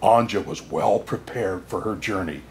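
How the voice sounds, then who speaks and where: A man playing a jolly, kindly old Santa Claus, excitable and chuckling, reading a children's storybook aloud with "oh my" exclamations. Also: lowish voice, moderate pace, a little hiss or hum, voice pushed down, close to an everyday voice. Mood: gently narrating. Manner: hearty chuckles and frequent "oh my" exclamations. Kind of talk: children's storybook read-aloud with asides to listeners.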